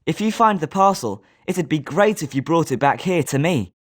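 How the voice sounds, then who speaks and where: A man's voice: fairly high pitch, lively intonation, normal speed. A man playing a British schoolboy wizard in a video game, speaking scripted lines expressively. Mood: friendly, polite. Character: schoolboy wizard student, polite but sometimes sneering.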